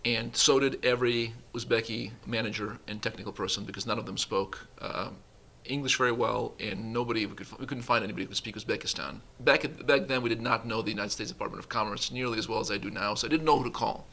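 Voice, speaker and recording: A man's voice: lowish voice, fairly even intonation, fast talker, gravelly, few pauses, sharp tone. A man in his forties, a company vice president, speaking earnestly on a conference panel with an uneven pace and some restarts. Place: conference room.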